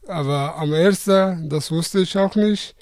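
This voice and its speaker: A young man in his twenties from Afghanistan who answers interview questions haltingly, searching for words and restarting often. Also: a little high, a little mellow, no room sound, clean studio sound. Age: 20s.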